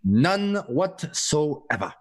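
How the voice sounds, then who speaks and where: A man playing a smooth, secretive seller of illicit androids in a radio play, formal and assuring in manner. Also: fairly high pitch, big pitch swings, very slow, heavily acted. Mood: smoothly assuring. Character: smooth, secretive male salesman of illegal duplicate androids.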